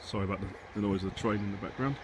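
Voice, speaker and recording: A man with a fairly low voice talks casually through a garden tour. He is low-key and a little hesitant, thinking aloud. It is recorded outdoors on a handheld device, with clear noise behind him. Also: rapid-fire. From England. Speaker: allotment gardener and vlogger. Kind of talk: casual rambling video tour. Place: outdoors on an allotment and in a polytunnel. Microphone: handheld phone or camera behind the lens. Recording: noisy handheld outdoor recording, wind and background rumble.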